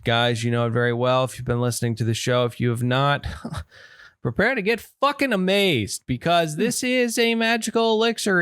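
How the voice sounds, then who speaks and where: Male co-host for comic TV recap chat: halting, foul-mouthed, sarcastic, average pitch. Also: no room sound, clean studio sound. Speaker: podcast co-host. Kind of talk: casual comedic TV recap chat.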